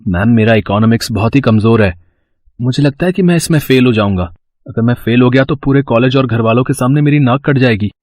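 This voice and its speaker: A man's voice: mid-range voice, a little fast, darkish tone, dry recording, natural voice. A man voicing an infatuated 21-year-old college student who talks in a hesitant, pleading, fawning way, recorded dry.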